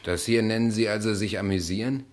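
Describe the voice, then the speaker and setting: A man as a low-voiced, reserved gentleman suitor. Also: steady tone, brisk, close to an everyday voice. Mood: polite, sulky. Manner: hesitant, subdued, stiffly polite. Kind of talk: German radio play acting.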